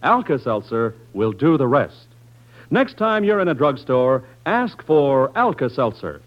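A man's voice: mid-range voice, sing-song, measured pace. A man reading a scripted commercial as an announcer on old-time radio. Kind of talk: vintage radio ad read. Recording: dull lo-fi vintage radio recording.

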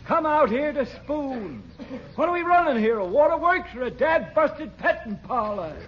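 High-pitched crotchety old grump. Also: male voice, lively intonation, normal speed, very noisy. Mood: cranky, grumbling. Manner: crotchety, exclamatory, scolding. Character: cranky old waterworks superintendent, a grumbling woman-hater. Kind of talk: 1940s radio comedy acting. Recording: dull old radio recording.

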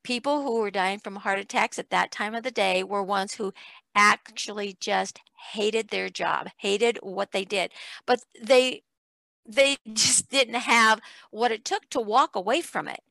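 Bright-voiced woman hosting an interview show. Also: mid-range voice, fairly even intonation, unhurried, gravelly, no room sound. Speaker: talk show host.

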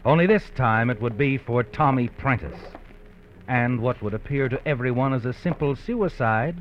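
An actor playing an ominous, knowing narrator of an old radio crime drama, dramatically addressing the hero directly. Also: male voice, mid-range voice, normal speed, natural voice. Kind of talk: 1940s-50s radio suspense narration. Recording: muffled old radio recording with music.

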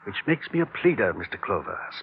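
An actor playing a suave, formal private investigator who talks in elaborately polite phrases in a 1950s radio crime drama. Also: male voice, lowish voice, sing-song, fast. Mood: smooth pleading. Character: suave, wordy, self-assured male private investigator. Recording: muffled, noisy old radio recording.

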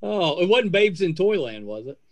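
A man's voice: sits high, very animated, normal speed. An American man in his fifties hosting a casual interview, chatty and rambling, with hesitant phrasing. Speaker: podcast host.

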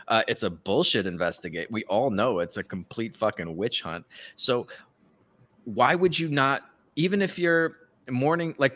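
A man's voice: medium pitch, a bit bright. A man giving casual, profane political commentary as a podcast host, ranting and mockingly acting out what others say.

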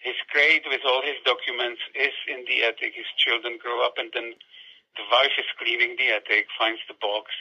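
Husky man with a Czech accent. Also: medium pitch, flat delivery, moderate pace, sharp tone, muffled recording. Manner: thoughtful, restarts sentences often. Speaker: guest author. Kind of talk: storytelling in conversation. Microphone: phone call.

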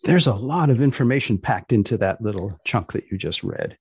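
A man's voice: lowish voice, expressive, fairly quick, a little mellow, slightly rough, no room sound. A man hosting a podcast in a dry, understated conversational way, prompting his guest with questions. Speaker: podcast host. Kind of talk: conversational interviewing.

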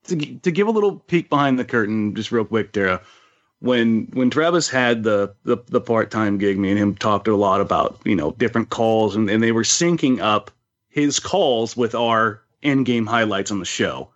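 A man hosting a podcast in a casual chat, with a halting way of repeating phrases as he builds questions. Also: fairly low pitch, animated. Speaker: podcast host. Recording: slightly dull lo-fi audio.

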